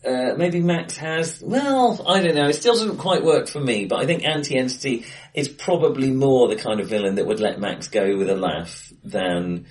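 A man co-hosting a casual film discussion, talking in halting stops and restarts with frequent ums and a ready laugh. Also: mid-range voice, distant mic. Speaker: podcast co-host. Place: acoustically bright room, face to face. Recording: bright room, voice a little off-mic.

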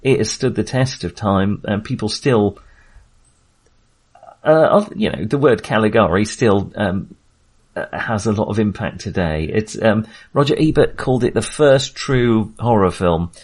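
A man's voice: lowish voice, lively intonation, unhurried, darkish tone, breathy edge. A man hosting a casual film discussion, talking in a hesitant, rambling and self-deprecating way. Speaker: film podcast host.

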